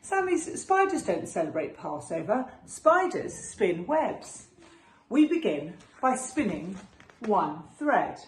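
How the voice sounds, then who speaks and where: A patient, gently chiding mother spider from a picture book, acted by a woman. She teaches with animated pitch and stretches her key words, in a slightly echoing room. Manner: instructive, drawn-out emphasis on key words. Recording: roomy echo with faint background noise.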